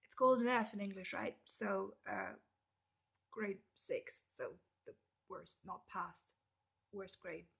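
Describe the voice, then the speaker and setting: A young woman from Germany with a German accent, chatting casually through a list of questions and often dropping into soft whispered asides. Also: medium pitch, takes it slowly, gravelly, breathy edge. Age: young adult. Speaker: vlogger. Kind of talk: casual question-and-answer tag video.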